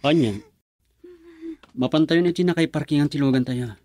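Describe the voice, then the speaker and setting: A man's voice: a little high, normal speed, warm tone, no echo, natural voice. An actor playing a reluctant, lovelorn young man in a radio drama, with an Ilocano accent. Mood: reluctant, terse. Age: young adult. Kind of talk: Filipino radio drama acting.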